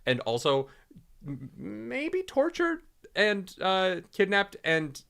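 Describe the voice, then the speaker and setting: Bright-voiced male podcast co-host. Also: high voice, slow, vocal fry, clear, resonant tone. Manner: animated, joking, reads chat questions. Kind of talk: casual spoiler chat.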